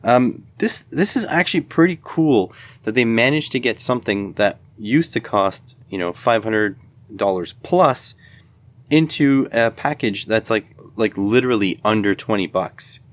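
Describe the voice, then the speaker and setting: A man's voice: on the low side, lively intonation, unhurried. A man giving a casual, unscripted product demonstration in a rambling, matter-of-fact way. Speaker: video host, electronics product reviewer.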